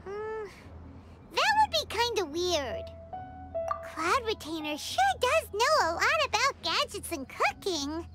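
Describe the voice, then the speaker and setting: Tiny squeaky fairy sidekick: sky-high, swooping, childlike game voice with loud music behind. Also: female voice, very animated, slow pace, full character voice. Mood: curious. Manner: squeaky, blunt, childlike exclaiming. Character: tiny childlike fairy companion, cheeky and curious. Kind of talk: scripted video game cutscene acting.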